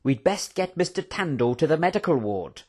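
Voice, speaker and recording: A man's voice: a little high, sing-song, moderate pace, a little mellow, voice pushed up. Man putting on a falsely genial, lilting overseer. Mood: pleased, mock-polite.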